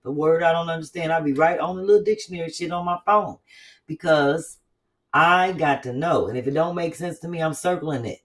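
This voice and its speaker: A woman hosting a casual chat, talking in an animated, blunt, profane way with quick asides. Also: deep voice, far from the mic. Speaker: host.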